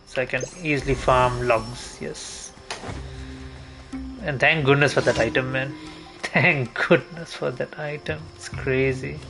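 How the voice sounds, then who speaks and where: A man's voice: medium pitch, steady tone, unhurried, gravelly. A man livestreaming a video game, chatting casually and thinking aloud in a rambling, hesitant way as he plays. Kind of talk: casual gameplay commentary, chatting while playing. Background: video game music.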